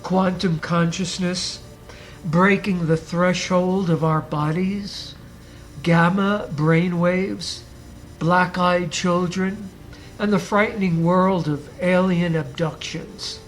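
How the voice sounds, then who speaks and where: A man hosting a podcast, reading a scripted motivational monologue in a deliberate, emphatic way, his voice raspy as he recovers from the flu. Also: sits high, steady tone, faint music behind, rough recording. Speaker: podcast host.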